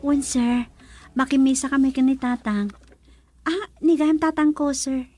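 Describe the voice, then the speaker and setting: A woman's voice: high-pitched, a little slow, darkish tone, no room sound. An actress playing a polite, deferential young working woman in a radio drama. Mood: polite, shy.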